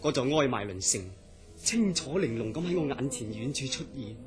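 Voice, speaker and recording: A man's voice: mid-range voice, animated, moderate pace, soft background music, close to an everyday voice. A man acting a troubled, obsessed dreamer in a horror radio drama, speaking Hong Kong Cantonese in an earnest, dramatic way. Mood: brooding, wistful.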